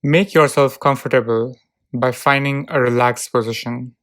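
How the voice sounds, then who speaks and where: A narrator leading a guided visualization in a calm, soothing, measured way, leaving long pauses between short phrases. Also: mid-range voice. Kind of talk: guided visualization, relaxation script.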